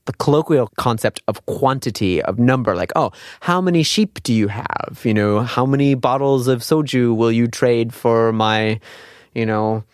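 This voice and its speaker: A man's voice: medium pitch, normal speed, dry recording. Dry-sounding man giving an informal lesson. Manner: conversational, explanatory, poses rhetorical questions to the listener. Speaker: language teacher and host. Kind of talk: informal spoken lesson with examples and quiz questions.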